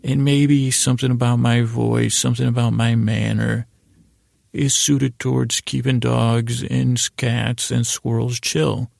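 An American man hosting a bedtime podcast in a gravelly, creaky voice, with a soothing, meandering, halting delivery full of stammered restarts. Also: medium pitch, level tone, darkish tone, no echo, studio quality. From United States. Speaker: podcast host. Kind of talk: rambling bedtime-story podcast intro.

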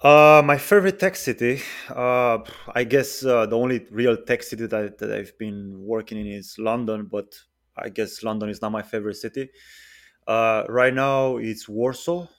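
A man in his twenties, a podcast guest chatting casually and relaxed, with many fillers and pauses. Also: medium pitch. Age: 20s. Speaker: guest, freelance software engineer.

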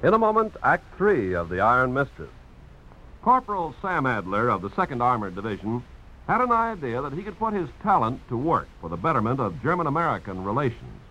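A man's voice: medium pitch, big pitch swings, fairly quick, bright, forceful tone. A man announcing in formal, polished 1950s radio style, reading scripted narration. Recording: dull, noisy old radio broadcast.

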